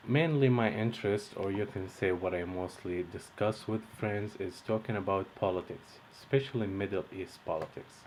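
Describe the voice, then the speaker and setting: Young male instructor from Egypt, deep voice, measured scripted read with faint background noise. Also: darkish tone, rough recording. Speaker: course instructor. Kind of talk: read-out lesson introduction.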